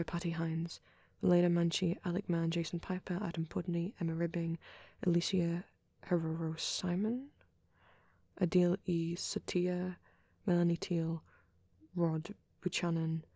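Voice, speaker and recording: A woman playing a shy, nervous vampire boy in a soft-spoken ASMR roleplay, with a hesitant, rambling, stammering delivery and a husky voice. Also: lowish voice, dry recording, studio quality. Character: shy, nervous young male vampire on a date.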